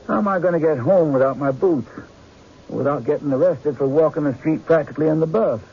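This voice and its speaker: An actor playing an earnest, hard-up gambler with a plain folksy way of talking in an old-time radio drama. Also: male voice, a little high, fairly quick, gravelly, husky. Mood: rueful, grateful. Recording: dull lo-fi radio recording with music.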